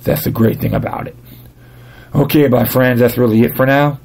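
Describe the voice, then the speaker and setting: A male podcast host with a mid-pitched, gravelly voice that sounds dry. He moves between reading articles aloud and commenting casually, halting and doubling back over words as he goes. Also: steady tone, darkish tone. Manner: halting reader, stumbling over words and repeating phrases.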